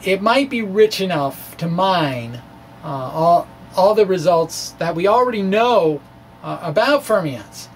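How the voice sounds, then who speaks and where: A man giving a dramatic, halting reading of his physics blog, with self-deprecating off-script asides. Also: high-pitched, animated, a little hiss or hum. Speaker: physics blogger presenting his own talk.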